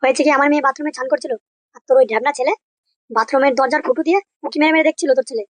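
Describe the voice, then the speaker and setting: A man voicing an angry, crude, threatening neighbour in a comedy cartoon, with a pitched-up voice. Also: very fast, fairly bright tone, dry recording. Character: angry, foul-mouthed neighbourhood man protecting his daughter.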